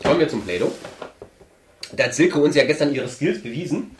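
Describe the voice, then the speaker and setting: A man's voice: on the high side, animated, brisk, a little hiss or hum. A young man with a stuffy nose, chatting casually on camera from a table indoors as he opens an advent calendar, rambling with lots of hesitant fillers. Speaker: channel host. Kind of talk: casual chat while opening an advent calendar. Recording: roomy, echoey room sound.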